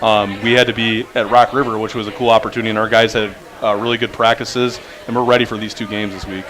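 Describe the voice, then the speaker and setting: A man coaching high school basketball speaks as a radio guest in an earnest, upbeat way. His voice sits a little low. A busy bar crowd chatters clearly behind him. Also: level tone, quick pace, a bit bright, rough recording.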